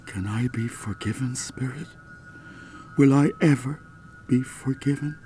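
A man acting an anguished, creaky-voiced old father. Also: medium pitch, warm tone, faint music behind, natural voice. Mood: desperate pleading. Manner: halting, pleading. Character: anguished, frightened, remorseful old father facing judgment. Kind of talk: radio play acting.

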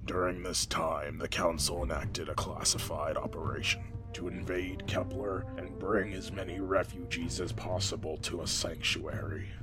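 Guy acting a really deep commanding army general, formal sci-fi briefing, music behind him. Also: flat delivery, lightly acted. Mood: grave, commanding. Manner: formal, measured, solemn. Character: authoritative military general, grave and commanding.

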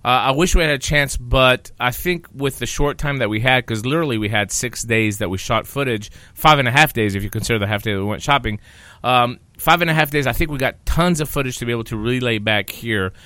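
Rambling male podcast host of Greek origin, bright tone, dry close sound. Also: medium pitch, a little fast, no room sound. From Greece. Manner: rambling, lots of fillers and repeats. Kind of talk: casual podcast chat.

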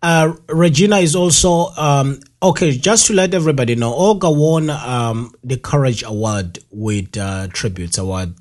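A man's voice: mid-range voice, normal speed, hardly any pauses, darkish tone. A man hosting a live radio interview, rambling with many fillers and repeating himself. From South Africa. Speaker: radio show host.

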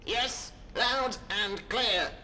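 A man's voice: extremely high pitch, very slow, bright, forceful tone, a little hiss or hum, full character voice. A man voicing a cheeky, flippant computer sidekick who bores easily, in a radio drama. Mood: cheeky, bored. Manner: flippant, teasing.

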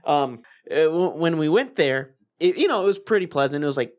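Man, young adult, higher pitch, storyteller, animated, laughing, swears. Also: a little fast. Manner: animated, laughing, profane anecdotes. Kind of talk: casual storytelling.

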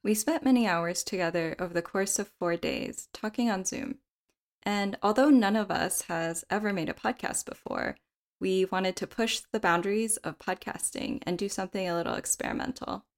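A woman reading scripted podcast narration in a measured, reflective way. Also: medium pitch.

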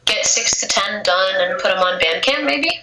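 A woman's voice: mid-range voice, expressive, normal speed. Young woman with a cold, echoey room. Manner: casual, lots of filler "like". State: has a cold, losing her voice. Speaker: poet, interview guest. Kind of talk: casual interview chat. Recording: echoey room, faint noise.

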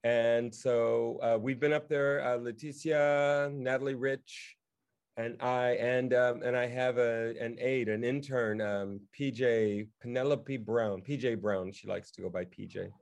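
A man chatting casually in a halting, wry way, as if thinking aloud while he talks. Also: medium pitch, steady tone, darkish tone. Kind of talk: casual chat. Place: remote video call.

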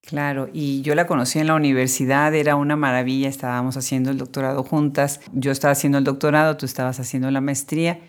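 A woman's voice: extremely low pitch, level tone, fast, few pauses. A woman hosting a podcast interview, reading a formal introduction and then chatting in a friendly way. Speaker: podcast host.

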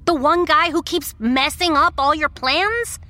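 A woman playing a brash, excitable teenage girl, a shapeshifting sidekick in an audiobook drama, cheeky and casual. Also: high voice, unhurried. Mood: indignant. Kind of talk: full-cast audiobook drama.

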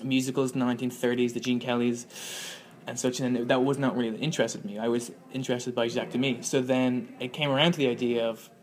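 A man's voice: medium pitch, little pitch movement, fast talker, a little hiss or hum. Rambling young man filmmaker. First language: Irish. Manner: rambling, many fillers and restarts. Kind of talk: interview answer.

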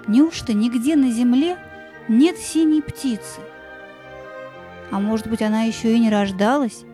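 A woman narrating a scripted fairy tale in a gentle, lyrical storytelling manner. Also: a little high, measured pace, soft background music.

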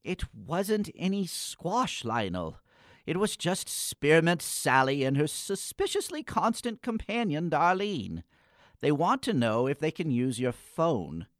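An actor playing a morose, dryly polite young male poet in a comic gothic radio play, with a theatrical, wordy, deadpan manner. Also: a little high, slow, no room sound, clean studio sound, full character voice. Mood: wry.

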